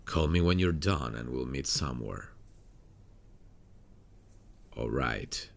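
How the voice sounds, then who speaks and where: A man playing a weary, haunted detective in an intimate ASMR roleplay, speaking to a partner softly and without hurry. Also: extremely low pitch, animated, slow, creaky, full character voice. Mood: determined, resigned. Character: weary, haunted male detective hunting a serial killer.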